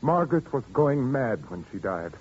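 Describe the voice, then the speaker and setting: A man's voice: mid-range voice, big pitch swings, moderate pace, a little mellow. An actor playing a stern, grieving widower and father in an old-time radio drama. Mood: grave. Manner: stern, then brooding and haunted. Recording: dull noisy radio recording.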